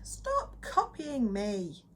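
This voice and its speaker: A woman voicing a grumpy male crocodile in a children's storybook, shouting in exasperation with drawn-out words. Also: a little high, wildly swooping pitch, slight background noise, pitched above the performer's own voice, full character voice. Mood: exasperated, angry. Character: grumpy male crocodile who wants to be left alone.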